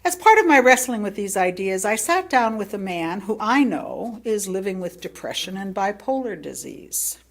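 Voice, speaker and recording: Expressive woman reading a reflective sermon. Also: mid-range voice, vocal fry. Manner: reflective, with rhetorical questions and deliberate pauses. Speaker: lay speaker reading a sermon. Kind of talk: sermon read from a prepared text.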